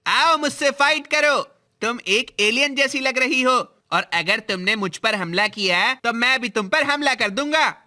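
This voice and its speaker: A voice actor playing a timid little schoolboy who is scared of spiders, talking in an earnest, childlike way for an animated story. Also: mid-range voice, unhurried. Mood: defiant.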